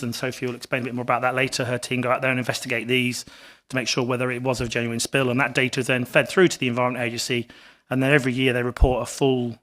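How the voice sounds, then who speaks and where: A man with a rough, gruff voice from a cough, answering questions from a council committee in a halting way that repeats words. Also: mid-range voice, fairly bright tone. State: hoarse with a cough. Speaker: water company representative. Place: council committee meeting room.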